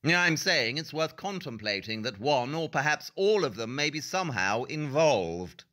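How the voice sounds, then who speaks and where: Male actor as brilliant male detective, self-assured, didactic, bright forceful voice, very animated pitch, audio play. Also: unhurried. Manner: emphatic, precise, didactic. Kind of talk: audio drama acting.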